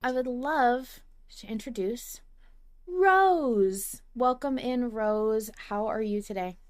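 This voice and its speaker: A woman's voice: on the high side, takes it slowly. Effusive woman hosting a podcast. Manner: effusive, informal, swearing. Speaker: podcast host. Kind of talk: casual fan podcast chat.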